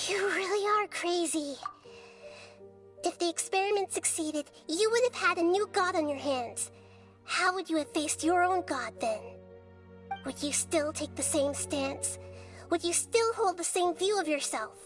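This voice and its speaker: A very high, girlish goddess in a video game. She is wise, composed and earnest, challenging gently in measured phrases over soft music. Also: lively intonation. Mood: indignant, probing.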